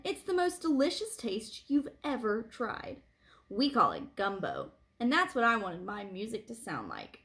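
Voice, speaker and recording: A woman reading a picture book aloud to children like a teacher, with animated phrasing and deliberate emphasis. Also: sits high. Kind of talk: expressive read-aloud storytelling for children.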